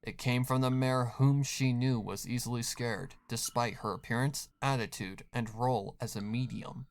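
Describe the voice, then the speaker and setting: A man's voice: medium pitch, moderate pace, a little hiss or hum. A man reading scripted third-person horror fiction as the narrator.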